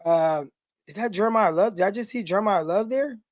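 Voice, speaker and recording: A man's voice: sits high, a loud crowd. A man co-hosting a live football podcast, giving opinionated and enthusiastic takes in a loose conversational way. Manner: enthusiastic, opinionated, quick asides. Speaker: co-host, former pro football player. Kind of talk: live podcast draft talk.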